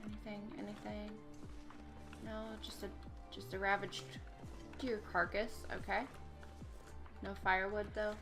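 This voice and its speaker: A young woman with a stuffy head cold, her voice a little nasal, talking through a survival game in a loose, chatty way. Her pitch sits in the middle of the female range. Soft music plays faintly beneath her. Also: level tone, measured pace, long pauses. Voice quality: slightly nasal. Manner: chatty, thinking aloud while playing. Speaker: let's play gamer. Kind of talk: casual gameplay commentary.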